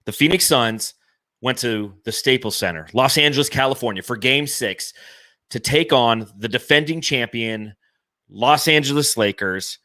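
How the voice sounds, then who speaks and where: A man hosting a sports fan podcast with excited, profane banter, delivered in a boisterous, declamatory way. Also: medium pitch, moderate pace, no room sound. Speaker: podcast host.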